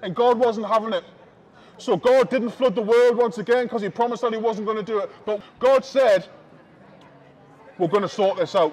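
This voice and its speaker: A man with a strikingly high voice and a Northern English accent preaches outdoors on a busy shopping street. He speaks through a small headset mic and calls out to the passing crowd in an open-air sermon. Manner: preaching loudly to passers-by, calling out to the crowd.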